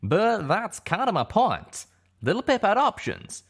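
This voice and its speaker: Man putting on a higher, swooping, agitated folksy pegasus. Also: wildly swooping pitch, measured pace, fairly bright tone, breathy edge, heavily acted. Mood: distressed. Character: agitated, brash male pegasus pony, pleading and indignant.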